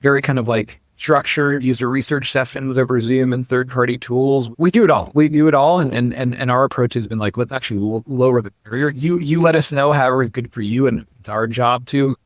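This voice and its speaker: A man's voice: medium pitch, brisk, hardly any pauses, dry recording. Man explaining in a dry, close recording. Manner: emphatic, often says "like" and "you know". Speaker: company founder and chief executive, interview guest. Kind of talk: conversational interview answers, explaining.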